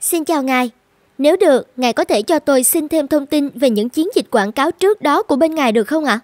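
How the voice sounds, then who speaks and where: Woman acting a high-voiced, eager young trainee. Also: fast talker, no echo, natural voice. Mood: polite, composed. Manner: polite, inquisitive. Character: curious, eager young female creative trainee. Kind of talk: dubbed cartoon dialogue.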